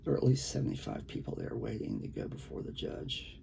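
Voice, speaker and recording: A man with a gravelly, creaky voice, a poet talking casually and reading his own work in a halting, conversational way. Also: lowish voice, wildly swooping pitch, mellow, a little hiss or hum. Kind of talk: casual talk and poetry reading.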